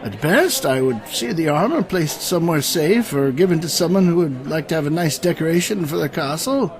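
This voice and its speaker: A man acts out an aging, world-weary knight from a fantasy radio play. His fairly high voice swings widely as he speaks in grand, old-fashioned phrases, with a creaky edge and music underneath. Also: animated, moderate pace, some chatter behind. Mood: weary, resigned. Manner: formal, grandiose, archaic storytelling. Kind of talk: audio drama acting.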